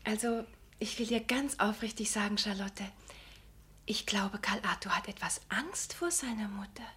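A woman's voice: medium pitch, normal speed, pushed and bright, breathy edge. An actress playing a pious, fawning married woman in a radio drama, hesitant and over-polite, with diction. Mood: confiding. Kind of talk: German radio drama acting.

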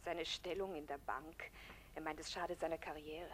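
An actress playing a weary, fearful unfaithful wife, subdued and resigned, in a 1960s German radio crime drama. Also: female voice, sits low, steady tone, normal speed, close to an everyday voice. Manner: subdued, resigned, breathy murmur. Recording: hissy 1960s radio play recording.

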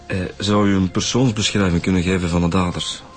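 Male police inspector, radio drama, acted: informal sidekick, lowish voice, easygoing, light music behind. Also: fairly even intonation, fairly quick, close to an everyday voice. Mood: calm, matter-of-fact.

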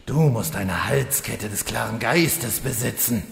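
An actor playing a scheming, frustrated male demon-elf villain, speaking in a slow, menacing way. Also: mid-range voice, animated, gravelly. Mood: puzzled. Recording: roomy reverb.

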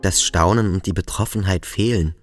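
An actor playing a brooding, sensitive boarding school pupil in a literary radio play, speaking in formal, deliberate phrases. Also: male voice, low voice, faint music behind, lightly acted. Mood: troubled, indignant.

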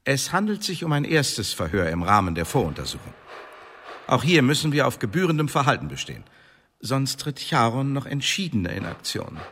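An actor playing a stern, domineering interrogator in a historical radio drama, speaking in a curt, commanding, officious way. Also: male voice, mid-range voice, sing-song, a little fast, a bit bright, a little hiss or hum. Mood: stern, menacing. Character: stern, domineering male interrogator of an Elizabethan secret tribunal.

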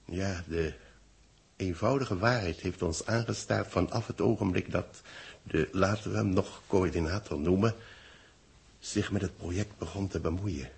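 Grave tired official, low gravelly voice, old 60s radio play, solemn and measured. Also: male voice, exaggerated voice. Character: grave, weary male official announcing tragedy to a doomed world. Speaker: radio drama actor. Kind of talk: 1960s radio drama acting, solemn announcement. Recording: vintage 1960s radio drama recording.

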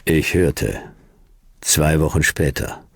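An actor playing a world-weary ageing private detective, narrating a radio crime drama in a laconic, deadpan manner. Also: male voice, rumbling low, slow pace, slightly rough, full character voice. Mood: dry, laconic. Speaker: narrator and lead character.

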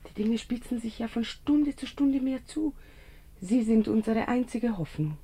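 Anxious, breathy, soft-toned secretary. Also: female voice, a little high, expressive, unhurried, exaggerated voice. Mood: worried, hopeful. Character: devoted, anxious female secretary to a minister. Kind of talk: 1950s German radio drama acting.

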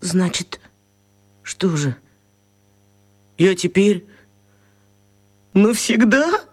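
An actress playing the indignant, emotional victim of a botched spell in a children's radio play, speaking dramatically. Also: female voice, mid-range voice, animated, drawn-out words, a little mellow. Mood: dismayed.

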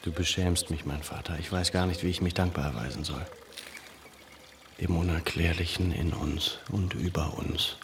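An actor playing an earnest, reflective Armenian gentleman in a radio drama, respectful and brooding. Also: deep voice, steady tone, moderate pace, background noise. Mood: humble.

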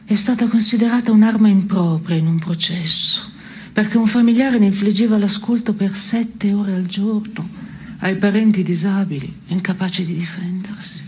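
An actress playing a disillusioned, caustic woman in an absurdist radio play, speaking in a terse, deadpan, sardonic manner. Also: medium pitch, moderate pace, slightly rough. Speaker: stage actress. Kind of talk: theatrical dialogue in a radio play.

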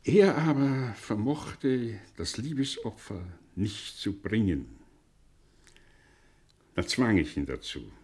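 An actor playing a learned, broken professor in a German radio play, halting and emphatic, with literary phrasing and bitter fatalism. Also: male voice, fairly low pitch, animated, darkish tone, slightly rough, exaggerated voice. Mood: bitter, resigned.

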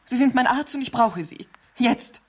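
An actress playing a nervous, demanding wealthy invalid wife, imperious and self-pitying, in a 1970s radio crime drama. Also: female voice, a little high, expressive, moderate pace, husky. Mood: agitated, demanding.

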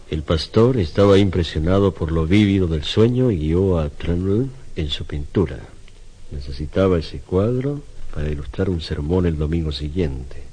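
A proud, obsessive sculptor from a radio play. The voice sits very low, mellow and husky, with a theatrical, brooding delivery full of self-doubt. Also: male voice, poor audio. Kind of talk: Spanish-language radio drama acting.

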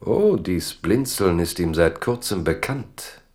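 A man narrating a literary radio play in German, telling the story in measured, dramatic phrases with pauses. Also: low voice, lively intonation, darkish tone.

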